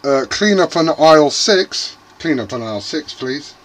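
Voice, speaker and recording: A man's voice: a little high, expressive, unhurried. A middle-aged British man hosting a casual, unscripted vlog, sweary and jokey as he mutters to himself. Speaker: video host unboxing CB radio gear. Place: small indoor radio workshop. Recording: noisy room, loud background noise, clicks.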